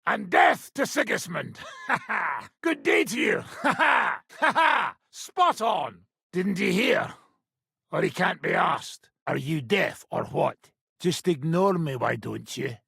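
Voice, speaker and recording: Raspy, boisterous British mercenary. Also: male voice, high voice, sing-song, slow, pushed and bright, no room sound, clean studio sound, heavily acted. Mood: rowdy cheer. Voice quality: rough, raspy, gravelly voice. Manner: coarse, brash, swearing banter. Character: foul-mouthed, boozy, boisterous male medieval mercenary fighter. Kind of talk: video game character voicelines.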